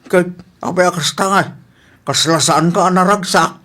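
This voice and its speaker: A man's voice: high-pitched, normal speed, bright, forceful tone. A man with an Ilocano accent playing a good-natured, patient husband and dutiful son in an audio comedy drama. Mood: reasoning.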